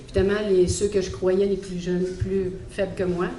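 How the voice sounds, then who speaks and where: A woman's voice: lowish voice, level tone, moderate pace, dark tone. A woman from Montreal with a Quebec French accent, giving a personal faith testimony in a hesitant, reflective way with many fillers. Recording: echoey hall, faint background noise.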